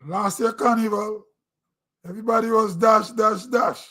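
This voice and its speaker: A man's voice: high voice, steady tone, normal speed, bright, forceful tone. A middle-aged Grenadian man with a Grenadian accent, giving a rambling, conversational opinion monologue on his own online show. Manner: rambling, conversational, with throat-clearing and restarts.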